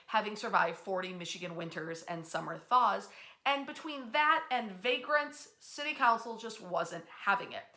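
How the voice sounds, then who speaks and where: A woman hosting a history storytelling series, talking in a chatty, emphatic way with dramatic pauses and drawn-out words. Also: mid-range voice, bright, forceful tone. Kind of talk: animated storytelling to an audience online.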